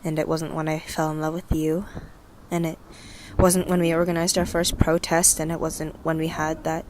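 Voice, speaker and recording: A woman playing a weary, grieving young survivor of a revolution in an audio drama, speaking in a wistful, halting, confessional way. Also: sits low, slight background noise, natural voice. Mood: bitter, regretful. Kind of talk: intimate audio drama monologue.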